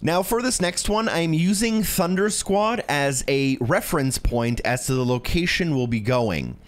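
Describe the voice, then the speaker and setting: A man's voice: a little high, animated, measured pace. A man narrating a video game walkthrough in a casual, instructional way, talking directly to the viewer. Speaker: video game guide creator.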